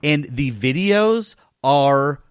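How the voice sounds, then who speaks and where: A man's voice: a little high, expressive, very slow, clear, resonant tone, no room sound, studio quality. A man hosting a casual gaming podcast, telling stories in an enthusiastic, emphatic way. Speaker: podcast host.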